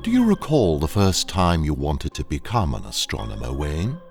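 An actor in an audio drama playing a ruthless training engineer who speaks in a deliberate, condescending, hypnotically coaxing way to a captive. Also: male voice, very deep voice, huge pitch swings, creaky, over music, exaggerated voice. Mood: insistent. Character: ruthless, sinister male training engineer, coolly brainwashing a captive.

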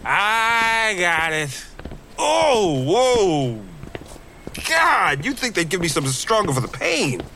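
Injured guy drugged on painkillers, slow drawl, wildly swinging pitch, flirty, defiant, audio drama, noisy background. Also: big pitch swings, sharp tone, full character voice. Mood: pained, woozy. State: groggy, medicated. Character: wounded man, delirious on painkillers, flirty and defiant. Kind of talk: full-cast audio drama acting.

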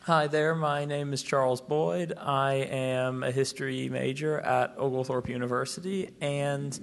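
A young man, a college student, asking a panel a question in careful, halting phrasing. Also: medium pitch, steady tone, creaky, a little hiss or hum. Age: young adult. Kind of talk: public Q&A question.

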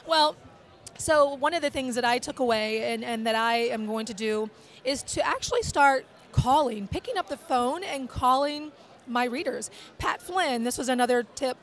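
A woman appearing as a podcast guest, chatting casually and eagerly, her voice hoarse and strained. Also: high voice, normal speed. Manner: animated, agreeable, lots of "right". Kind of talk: casual conversation.

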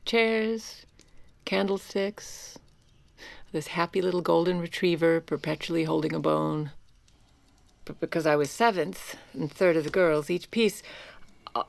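A woman's voice: on the low side, a little slow, gravelly, long pauses, no room sound. An actress playing a sardonic, middle-aged First Lady in audio fiction, wry and politely barbed. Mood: bitter reminiscing. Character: sardonic, steely Virginian First Lady, scheming and charming. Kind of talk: audio fiction acting with first-person narration.

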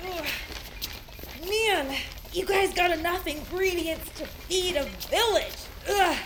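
An actress playing an excitable, feisty young oddball woman in an audio drama, blunt and slangy. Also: very high voice, slow, background noise.

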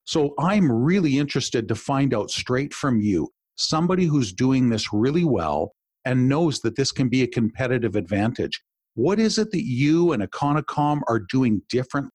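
A man's voice: mid-range voice, lively intonation, moderate pace, a little mellow, no echo, studio quality. A male podcast host and executive coach, enthusiastic, asking long, wind-up questions of his guest. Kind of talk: podcast interview.

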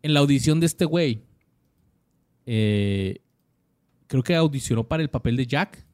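A man's voice: medium pitch, lively intonation, measured pace, darkish tone, dry recording, studio quality. A man co-hosting a podcast, reading out actor bios with lots of slang and jokes. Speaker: podcast co-host. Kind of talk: casual podcast chat.

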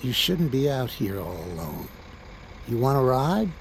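An actor playing a friendly, protective older truck driver in an audio drama, folksy and halting, with a creaky voice. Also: male voice, medium pitch, big pitch swings. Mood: friendly, concerned. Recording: noisy vehicle cab ambience.

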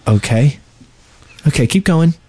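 A man's voice: a little high, lively intonation, unhurried, darkish tone, slightly rough. A man co-hosting a casual podcast, talking in an easygoing, explanatory way. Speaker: podcast co-host. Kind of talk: casual gaming podcast chat.

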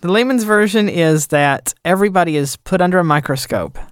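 A man's voice: high-pitched, lively intonation, a little fast, clean tone, no room sound, studio quality. A man hosting a podcast, musing about editing, often trailing off and restarting his sentences. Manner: rambling, thoughtful, trails off mid-sentence. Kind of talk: casual podcast chat.

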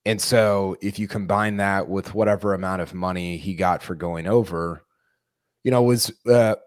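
Male co-host, low pitch, slightly hoarse, rambling chat, dry sound. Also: steady tone, moderate pace, no echo. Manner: rambling, many restarts. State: losing his voice. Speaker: podcast co-host. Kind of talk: casual sports podcast chat.

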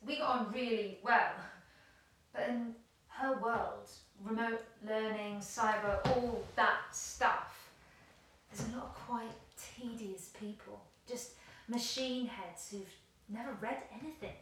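An actress playing a cultured, regretful former concert pianist in an audio play, reminiscing ruefully in hesitant phrases. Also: female voice, fairly high pitch, fairly even intonation, slow pace, a bit bright. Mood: confessional, dissatisfied. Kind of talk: audio play acting, confessional monologue. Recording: very echoey, slightly distant, faint noise.

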